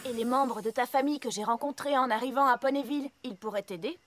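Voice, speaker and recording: An earnest young female pony from a children's cartoon, played by a woman, articulate and easily exasperated. The voice sits high, with music playing underneath. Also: fast, close to an everyday voice. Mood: concerned. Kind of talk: French-dubbed children's cartoon acting. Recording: music bed behind the voice.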